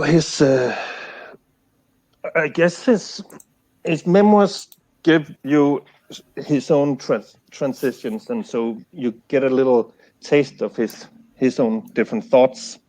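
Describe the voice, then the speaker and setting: A man's voice: mid-range voice, a little mellow. A man from Denmark, a conference panelist, talking through his reading history in a casual academic discussion, hesitant and full of fillers. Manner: hesitant, thinks aloud with many fillers.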